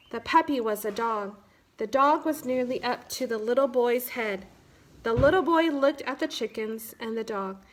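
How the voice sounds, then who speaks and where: A woman narrating a children's picture book aloud in measured, simple phrases. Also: sits high. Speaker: children's read-aloud storyteller.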